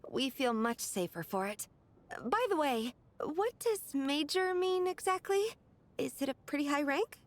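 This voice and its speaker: A woman's voice: high voice, lively intonation, unhurried. An actress playing a polite, curious, friendly young woman in a video game.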